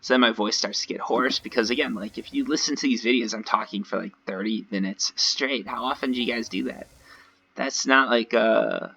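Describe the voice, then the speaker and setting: A man with a slightly hoarse voice gives casual, rambling gameplay commentary, talking directly to his viewers in a conversational way. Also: medium pitch, brisk, bright, forceful tone, a little hiss or hum, rough recording. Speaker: gaming video creator. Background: voice-over recorded over gameplay.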